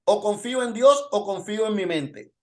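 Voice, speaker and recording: High-pitched male pastor teaching. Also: a little mellow. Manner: rhetorical questioning. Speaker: pastor teaching a Bible lesson. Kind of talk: informal sermon and Bible teaching with testimony.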